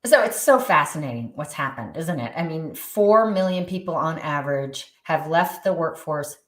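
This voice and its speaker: A middle-aged woman, a guest expert on movement and wellbeing, speaking in an animated, emphatic conversational way with frequent restarts. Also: low-pitched, moderate pace, reverberant. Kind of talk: conversational interview.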